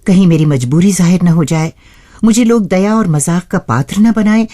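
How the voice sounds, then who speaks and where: A woman's voice: fairly low pitch, moderate pace, dark tone. A woman hosting a radio show, reading a scripted narration expressively and addressing listeners as friends.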